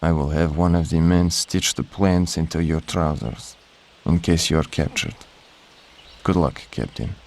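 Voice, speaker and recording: An actor playing a cautious, dutiful major in a video game, speaking in a formal, measured, reserved way. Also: male voice, very deep voice, a little mellow. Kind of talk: video game cutscene acting.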